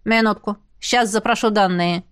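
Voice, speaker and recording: A woman voicing a brisk, insistent dwarf woman delivering a letter. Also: mid-range voice, steady tone, smooth, dry recording, studio quality, close to an everyday voice.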